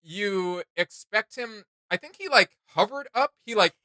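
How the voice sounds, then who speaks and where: A man hosting a reaction video, chatting casually and excitedly in a rambling way full of "like". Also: high-pitched, a little slow, dry recording. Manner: enthusiastic, rambling, frequent "like" filler. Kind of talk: casual excited chat reacting to game sounds.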